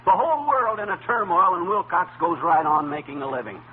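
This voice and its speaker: Radio sitcom lead: blustery small-town grumbler, fairly high voice, lively swings, indignant wisecracker. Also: male voice, a little fast. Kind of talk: 1940s radio comedy acting. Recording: tinny, hissy old radio broadcast.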